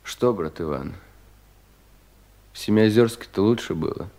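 A young government official in a vintage Russian audio play, brooding and full of self-doubt. The voice sits fairly low. He questions himself theatrically, swelling with agitation and sinking into hushed weariness. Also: animated, a little slow. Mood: weary, curious. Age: young adult.

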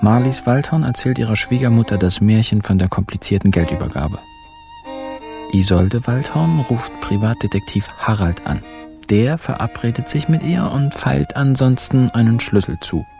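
An actor playing a wry young narrator of a radio crime comedy, in clipped, ironic diary entries. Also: male voice, medium pitch, expressive, moderate pace, vocal fry. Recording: music under narration.

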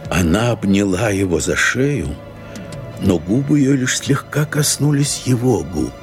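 A man's voice: on the low side, lively intonation, unhurried, faint music behind. A man narrating an audio play as a composed literary storyteller.

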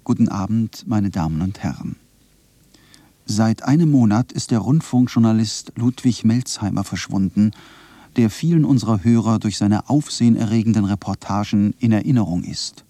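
An actor playing a sober radio announcer, reading a formal scripted bulletin. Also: male voice, fairly low pitch, moderate pace, warm tone, dry recording.

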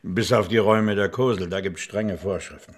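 An actor playing a greedy, good-humoured castle steward in a radio play, chatty in manner. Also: male voice, fairly low pitch, steady tone, normal speed, natural voice. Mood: jovial.

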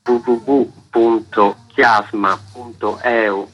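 Slightly husky, lowish man, faint music. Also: fairly even intonation, takes it slowly, lo-fi sound. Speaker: festival artistic director, interview guest. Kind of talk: explanatory radio interview.

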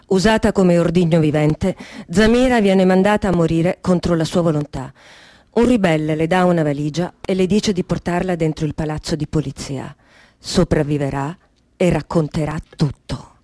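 A woman playing a sober chronicler, reading factual narration in a measured way within a radio drama. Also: on the low side, dark tone, no room sound, lightly acted. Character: sober female news-style narrator of true events.

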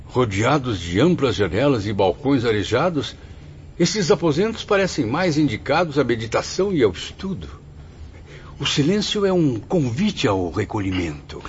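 A man's voice: medium pitch, sing-song, normal speed, close to an everyday voice. An awed newcomer played by a man in a radio drama, formal and full of wonder. His intonation moves widely. The sound is dull with faint noise. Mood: amazed, curious. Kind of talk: Brazilian radio drama acting.

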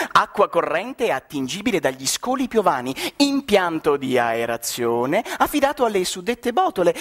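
Male stage narrator, high voice, highly animated, satirical and declamatory. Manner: ironic, declamatory, with sudden shouted exclamations.

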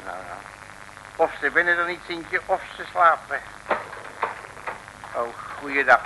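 An actor playing a brash, jovial, cheeky detective with a folksy, swaggering manner in an old Dutch radio serial. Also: male voice, a little high, sing-song, takes it slowly, exaggerated voice. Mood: sly, jaunty. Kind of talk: 1950s-60s Dutch radio serial acting. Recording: old radio recording with faint noise.

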